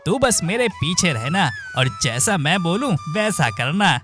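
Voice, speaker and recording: A greedy thief leader in a cartoon, voiced by a man, with a slightly raised voice that swings up and down a lot. He scolds his partner and schemes. Background music runs clearly under him. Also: sits high, sing-song, unhurried. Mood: bossy.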